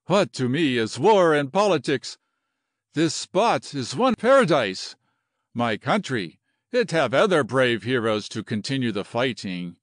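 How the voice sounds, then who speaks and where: A man voicing an excitable, romantic Colombian general with a Spanish accent and flowery broken English. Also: medium pitch, unhurried, no room sound, clean studio sound. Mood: rapturous.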